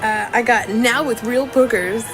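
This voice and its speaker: Unhurried higher-pitched woman chatting. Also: music in the background, poor audio. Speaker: game panelist. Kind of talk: casual chat.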